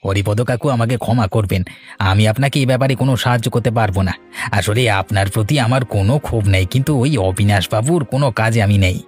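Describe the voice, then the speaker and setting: A man playing a gossipy, easily tempted, food-loving village man in a cartoon, with a slightly husky, exclamatory delivery. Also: lowish voice, quick pace. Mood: reluctant. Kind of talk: Bengali cartoon voice acting. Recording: music bed under the voice.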